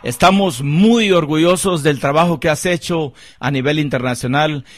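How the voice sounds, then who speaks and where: A man's voice: a little high, normal speed, dry recording, clean studio sound. Sing-song man hosting a radio show. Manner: effusive, sing-song delivery with shoutouts. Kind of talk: radio interview. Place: radio studio.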